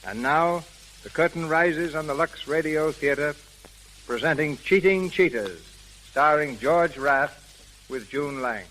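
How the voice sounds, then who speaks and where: A man hosting a radio theatre, reading scripted narration in a formal, measured 1930s showman style. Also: fairly high pitch. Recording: crackly, hissy 1930s radio recording.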